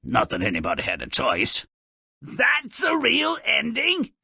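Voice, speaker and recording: Video game narrator role: malicious, sardonic gnome, raspy and forceful, slow relishing delivery of dark fairy tales, exaggerated and mocking. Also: male voice, high-pitched, wildly swooping pitch, no echo, studio quality, heavily acted. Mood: wry storytelling, then mocking scorn. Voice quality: raspy, gravelly.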